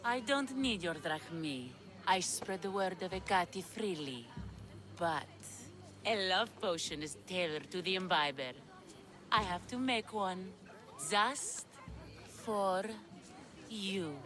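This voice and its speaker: Game witch: sly, coaxing, theatrical, unhurried, over a clear music bed. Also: lowish voice, animated, a bit bright. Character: sly witch of Hecate peddling potions, slow, sultry. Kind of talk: dramatic video game acting.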